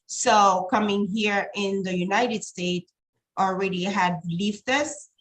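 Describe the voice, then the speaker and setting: A woman's voice: mid-range voice, fairly even intonation, slow, low-quality recording. A woman from Haiti, speaking thoughtfully in a casual talk show chat and often repeating words as she searches for them. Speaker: co-host.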